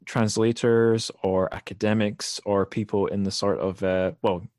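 Low-pitched man hosting a podcast. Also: steady tone, unhurried, darkish tone, dry recording, studio quality. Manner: informal, digressive, self-deprecating. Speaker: podcast host interviewing a guest. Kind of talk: casual, rambling podcast talk.

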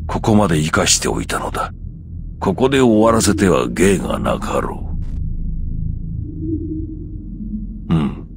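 A man's voice: low voice, sing-song, normal speed, vocal fry, background noise, exaggerated voice. An actor playing an ancient scheming sorcerer, a cruel, mock-courteous old man who savors his archaic phrasing in a visual novel. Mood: musing, sly. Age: older. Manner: archaic old man's speech, savoring, insinuating. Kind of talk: Japanese visual novel voice acting.

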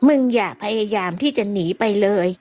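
A woman's voice: medium pitch, fairly quick, a little mellow, natural voice. A woman voicing a vicious old female krasue ghost, a floating head, in a menacing, cackling way.

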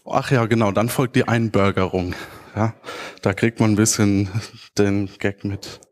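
A man's voice: on the low side, steady tone, a little slow. A man presenting informally as a conference speaker, somewhat hesitant and searching for words as he goes. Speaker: conference speaker, podcast creator. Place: large auditorium stage.

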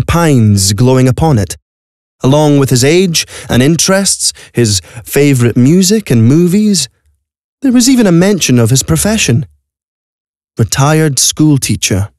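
A man's voice: medium pitch, darkish tone, no room sound. Male audio-play narrator, close dry sound. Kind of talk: scripted dramatic story narration. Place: recording studio.

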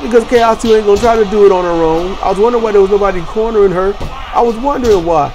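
A man hosting and commentating on simulated wrestling matches, energetic and rambling with frequent restarts. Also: high voice, racing, faint music behind. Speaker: host and commentator. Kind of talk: video game wrestling commentary.

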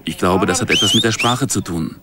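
A man speaking a calm German documentary voice-over in the first person, translating a singer's words. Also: low-pitched, fairly quick, over a noisy crowd.

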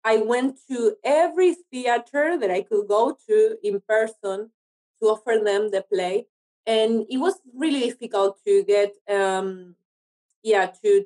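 A woman's voice: medium pitch, a little slow, dark tone, low-quality recording. Woman. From Argentina. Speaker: podcast guest, actor and writer. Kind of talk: casual interview chat.

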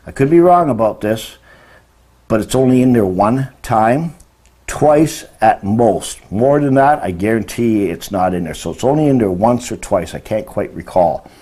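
A man's voice: lowish voice, lively intonation, moderate pace, dark tone. A man in his sixties teaching religion in an informal, emphatic lecture, often posing rhetorical questions. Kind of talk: informal lecture explaining word meanings. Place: indoor room beside a presentation screen.

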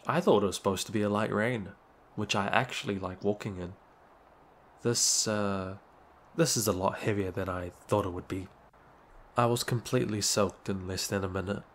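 A man's voice: lowish voice, fairly even intonation, normal speed, a little hiss or hum, natural voice. A young man playing a shy, awkward, apologetic neighbor in an ASMR roleplay, speaking hesitantly. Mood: sheepish, apologetic.

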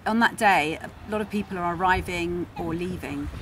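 A woman's voice: on the low side, moderate pace, a bit bright. A woman in her forties narrating a casual sailing travel vlog, talking to camera. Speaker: travel vlogger, sailor. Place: outdoors on a harbour quayside. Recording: noisy outdoor waterside ambience.

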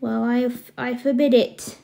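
Casting: woman as bossy older sister, high but mellow voice, scolding and fed up, deliberate. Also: flat delivery, vocal fry. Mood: stern, weary. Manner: scolding, stern, exasperated. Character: bossy, exasperated big sister scolding younger siblings.

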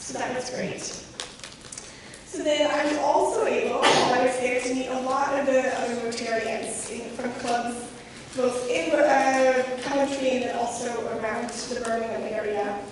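A woman's voice: sits high, steady tone, normal speed. A woman in her twenties with an American accent gives a prepared talk, her voice scratchy from a cold. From Kansas, United States. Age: 20s. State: recovering from a cold. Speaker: guest speaker, scholarship recipient. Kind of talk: prepared talk to a club audience. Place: large hard-walled meeting room. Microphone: handheld mic, heard through room from camera. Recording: echoey hall PA from far off, noisy.

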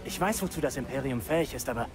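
An actor playing a conflicted, sceptical young imperial soldier in a German video game, questioning and intense. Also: male voice, mid-range voice, expressive, fast talker, over music, close to an everyday voice.

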